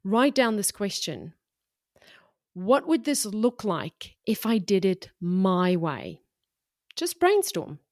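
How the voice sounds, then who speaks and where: A woman's voice: medium pitch, big pitch swings, slow pace, a little mellow, no echo. South african-aussie accent woman coaching, blunt n upbeat, short punchy lines, dead dry sound. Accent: mixed South African and Australian. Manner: frank, encouraging, punchy short phrases. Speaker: podcast host and business coach. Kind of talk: motivational solo podcast.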